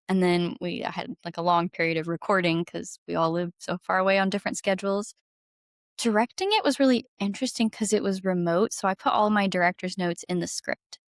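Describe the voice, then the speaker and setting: Woman podcast guest, lowish voice, chatty and gushing, really dry close sound. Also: no echo. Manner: enthusiastic, effusive. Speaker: podcast guest, singer-songwriter and audio drama creator. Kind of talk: casual interview chat.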